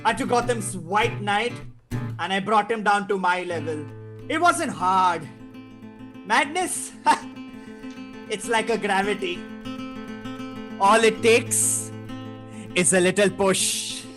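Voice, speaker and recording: Someone playing a slow, taunting, gleeful clown villain. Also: medium pitch, natural voice. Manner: taunting, drawn-out phrasing, giggly. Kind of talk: comic table read of a film script. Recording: live guitar music behind online reading. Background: plucked guitar music.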